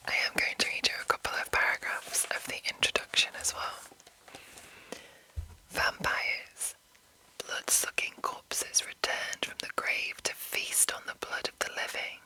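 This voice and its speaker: A woman whispering in a soft, breathy, unvoiced voice as an ASMR host, rambling gently and reading passages aloud. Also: measured pace, rough recording. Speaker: ASMR host introducing a roleplaying game.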